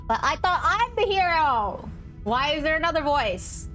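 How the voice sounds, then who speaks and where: A woman's voice: sits high, sing-song, a little slow, a bit bright. A woman livestreaming a game in casual, slangy chat, thinking aloud and reading choices out. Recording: stream with game music behind.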